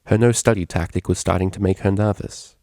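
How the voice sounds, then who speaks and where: A man reading a story aloud as scripted, expressive narration. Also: low voice, brisk, no echo, studio quality. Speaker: fan fiction reader.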